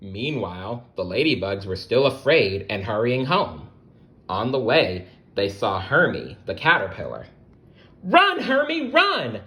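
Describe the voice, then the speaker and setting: A man reading a children's story aloud as storyteller, expressive, with conversational asides to the listener. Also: on the low side, a little slow.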